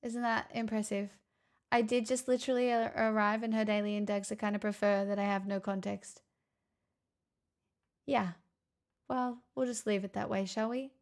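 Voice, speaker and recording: A woman's voice: mid-range voice, flat delivery, moderate pace, long pauses. A woman livestreamer chatting casually with her viewers, playful, teasing and self-mocking. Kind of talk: casual stream chat with viewers.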